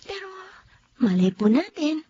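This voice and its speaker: A woman's voice: high voice, sing-song, a little slow, warm tone. A woman playing a giggly smitten young coordinator. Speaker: Sabrina, event coordinator. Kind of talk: Tagalog radio drama acting. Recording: dull lo-fi audio with faint hiss.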